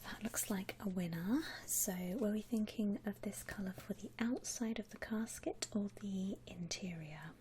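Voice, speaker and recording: A woman softly whispering in an ASMR roleplay as a polite, reassuring shop assistant helping a customer. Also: mid-range voice, moderate pace, few pauses, a little hiss or hum. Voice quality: soft, breathy whisper. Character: courteous, obliging female coffin saleswoman.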